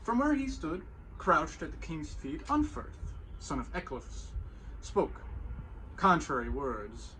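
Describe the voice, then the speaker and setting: A man's voice: a little high, very animated, takes it slowly, bright, forceful tone, heavily acted. A man playing an old bard, narrating heroic tales in a grand, theatrical storytelling manner. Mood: grand narration. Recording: echoey room, clear background noise.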